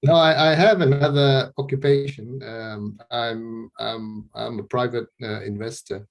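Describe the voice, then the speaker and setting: A man's voice: medium pitch, steady tone, a little slow, creaky. A middle-aged man from Malaysia, a guest on a video call interview, talking casually about his photography with many restarts and repeated words.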